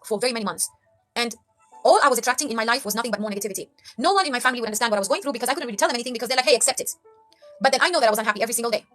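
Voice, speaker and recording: A woman from Kenya talks at a rapid clip in a bright, forceful voice, her pitch sitting a little high. She preaches life advice earnestly to her viewers and keeps restarting her phrases. Soft music plays faintly underneath. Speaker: life coach vlogger. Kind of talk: motivational talk to camera.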